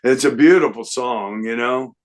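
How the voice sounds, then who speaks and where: An older man, a guest singer, chatting casually in an unhurried, rambling way with lots of fillers. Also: mid-range voice. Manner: breaks into sung snippets. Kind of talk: casual interview chat.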